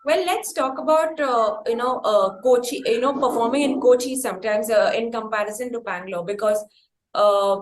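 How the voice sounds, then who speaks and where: High-voiced Indian woman in an echoey room. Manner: wordy, halting, hesitant phrasing. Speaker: podcast interviewer. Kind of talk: conversational interview. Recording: roomy echo, faint background noise.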